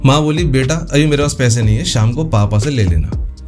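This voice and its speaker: A man explains things casually, step by step, as a tutorial host. His pitch is average and faint music sits behind him. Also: brisk. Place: voice-over for a computer screen recording.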